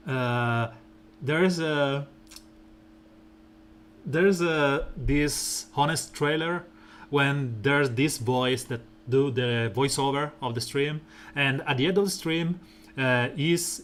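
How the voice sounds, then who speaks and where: A man streaming a mobile game, talking through team strategy in a relaxed, rambling way with lots of fillers and a soft, smooth voice. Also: slight background noise. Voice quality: soft, smooth and deep when slow. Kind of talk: casual gameplay commentary.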